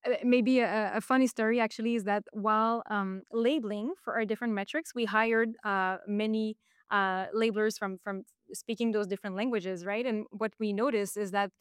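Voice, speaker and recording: A woman with a Quebec French accent explains her work in English, her first language being French. Her voice is smooth and clear, recorded dry and close. She talks in a casual interview way, pausing on fillers and restarting phrases. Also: mid-range voice, steady tone, no room sound. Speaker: guest.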